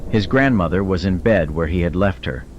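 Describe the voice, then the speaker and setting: Male narrator, very low register, dramatic storytelling over clearly audible effects. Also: animated, normal speed, lo-fi sound, slight background noise. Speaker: audiobook narrator.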